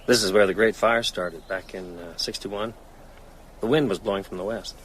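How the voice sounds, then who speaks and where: An actor playing a weary, haunted man out to hunt vampires, brooding and halting in a radio drama. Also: on the low side, fairly even intonation, fairly bright tone, slight background noise, close to an everyday voice, low-quality recording.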